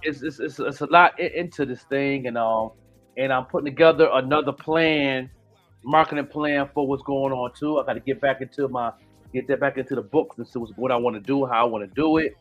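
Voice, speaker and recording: A man's voice: mid-range voice, fairly even intonation, a little fast, faint music behind. Rambling man with dull lo-fi sound. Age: 40s. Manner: rambling, many restarts and "you know what I'm saying". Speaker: podcast host. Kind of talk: casual chat.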